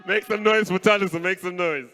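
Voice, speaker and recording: A young man from Kenya doing live stand-up comedy on a stage mic, playful and animated. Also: high voice. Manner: animated, playful, switches voices. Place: stage in a large hall. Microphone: handheld stage mic. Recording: live hall with long reverb.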